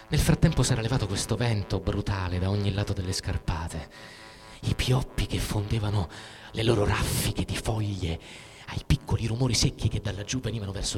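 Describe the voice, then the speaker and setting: An actor playing a frightened, cynical soldier who tells of war in a halting dramatic literary monologue, repeating words and breaking off mid-phrase. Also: male voice, fairly low pitch, close to an everyday voice. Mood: weary, bitter. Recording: music under the voice. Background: music.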